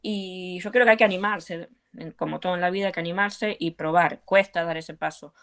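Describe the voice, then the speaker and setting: Encouraging Argentine woman, lowish voice. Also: fairly even intonation, fairly quick. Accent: Argentine Spanish. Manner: earnest, encouraging, with frequent fillers. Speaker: belly dance teacher, guest. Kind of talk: conversational interview.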